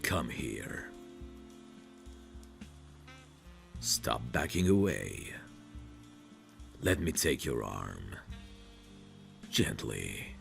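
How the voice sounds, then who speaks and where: A man playing a possessive, protective mafia boss in an intimate audio roleplay, calmly menacing, commanding and smug. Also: over music, heavily acted. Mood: possessive, firm. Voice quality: deep, gravelly. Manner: slow, commanding, smug, teasing endearments.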